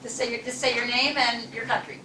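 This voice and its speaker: A woman's voice: on the high side, level tone, brisk, sharp tone, far from the mic, slight background noise. A woman, the club president, giving chatty, encouraging welcome remarks to guests in a chilly meeting room. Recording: echoey room, mic from afar.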